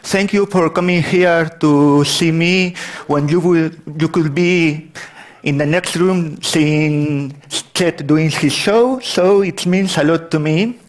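A man's voice: on the high side, slow pace. A man from Spain with a Spanish accent, giving a technical conference talk in a large hall, often restarting his sentences. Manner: apologetic about his English, frequent restarts. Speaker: conference speaker.

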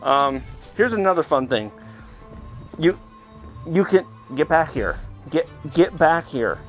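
Man, slightly high voice, gaming host, casual chatty play-by-play to viewers, clear music in the background. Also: lively intonation, slow. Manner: chatty, talking to viewers while playing. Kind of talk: casual VR gameplay commentary. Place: indoors, playing in a VR headset. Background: game music.

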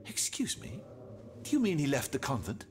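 An actor playing a flustered, learned astronomer in a video game, fussy and exclamatory. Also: male voice, fairly high pitch, huge pitch swings, moderate pace, slight background noise. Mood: flustered, incredulous. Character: flustered, incredulous learned French astronomer gentleman. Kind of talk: video game cutscene acting.

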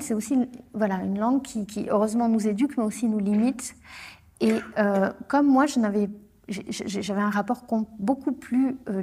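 Mellow, husky woman speaking reflectively. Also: mid-range voice. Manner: hesitant, many restarts, reflective. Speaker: poet on a panel. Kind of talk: round-table discussion. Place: theatre stage, seated panel.

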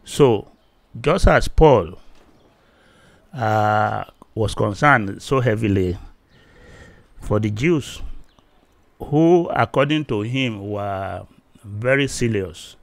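A man in his fifties with a Nigerian Yoruba accent, giving a solo teaching video into a close desk microphone, slow and halting with many fillers, growing louder and more forceful as he goes on. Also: lowish voice, expressive, gravelly, dry recording. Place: small indoor room.

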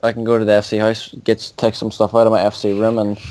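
A man's voice: lowish voice, monotone, quick pace, darkish tone, a little hiss or hum. A man rambling in a gaming voice chat with friends, piling on fillers and casual swearing. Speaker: gamer in voice chat. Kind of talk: casual voice chat while playing.

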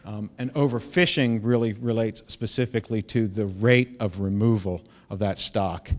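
A middle-aged man giving a conference keynote, explaining with frequent fillers and restarts, growing louder on key points. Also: lowish voice. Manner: halting, many fillers and restarts. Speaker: keynote speaker. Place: lecture hall. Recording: reverberant lecture hall.

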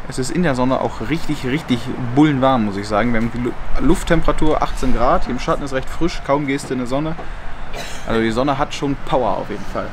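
Chatty young man with a noisy background. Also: mid-range voice. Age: young adult. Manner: relaxed, chatty, colloquial. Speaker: vlogger. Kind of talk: casual travel vlog talk. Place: driving a car, then outdoors on a mountain and at a rest stop.

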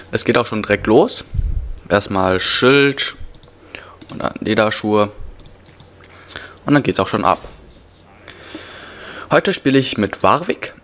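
Low-voiced young man with a cold. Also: a bit bright. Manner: slangy, muttering to himself. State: has a cough and a cold. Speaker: let's player. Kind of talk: casual gameplay commentary. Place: indoors at a computer, voice over gameplay. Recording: noisy home recording, keyboard typing.

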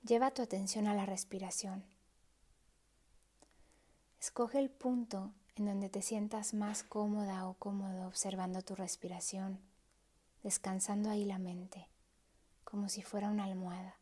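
A woman leading a guided meditation in a soft, hushed, breathy voice with pauses. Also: mid-range voice, level tone.

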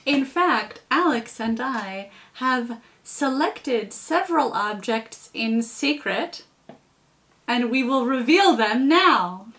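Slow-talking woman, higher voice. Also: lively intonation, fairly bright tone. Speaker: puppetry presenter. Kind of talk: instructional demonstration with a co-host. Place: home kitchen.

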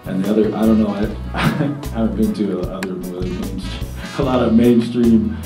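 A man from New Zealand, a reggae band singer in his thirties, answering panel questions in a relaxed, rambling way with many fillers, speaking into a table mic in a meeting room. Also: on the low side, normal speed, echoey room, distant mic, music in the background, rough recording.

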